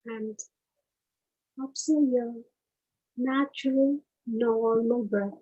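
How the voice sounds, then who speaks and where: Slow, high-voiced woman guiding meditation. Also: fairly even intonation, dark tone, muffled recording. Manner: unhurried, short pause-separated phrases. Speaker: meditation guide. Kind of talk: guided meditation.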